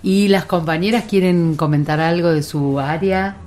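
A woman's voice: fairly low pitch, moderate pace, darkish tone. A woman with an Argentine accent hosting a casual radio interview. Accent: Argentine Spanish. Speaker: radio host.

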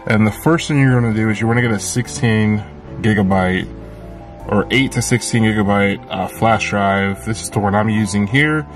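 A man's voice: on the low side, normal speed, soft background music. A man hosting a car channel, talking casually step by step through a tutorial with lots of fillers, recorded inside a parked car. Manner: casual, repeats steps, many fillers.

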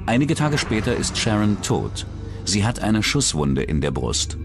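A man's voice: fairly low pitch, big pitch swings, measured pace, vocal fry, over music. A man reading scripted true-crime documentary narration as the narrator.

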